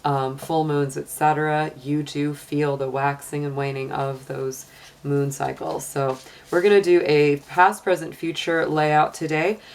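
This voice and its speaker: Deep-voiced, slightly hoarse woman. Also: little pitch movement, unhurried. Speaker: tarot reader and channel host. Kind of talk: casual conversational tarot and astrology reading.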